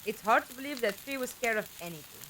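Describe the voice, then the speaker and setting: A woman playing a defiant young companion in an audio drama, youthful and expressive, with earnest, pointed questioning. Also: a little high, quick pace, close to an everyday voice. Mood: subdued, doubtful. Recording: background ambience noise.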